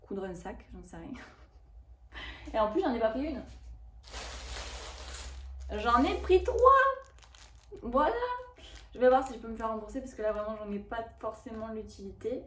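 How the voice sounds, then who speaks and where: A woman in her thirties chatting casually to camera about a shopping haul, rambling and expressive with lots of fillers. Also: on the high side, unhurried, pauses often, slight background noise. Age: 30s. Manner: chatty and rambling, with frequent fillers and self-corrections. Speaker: vlogger reviewing a shopping haul.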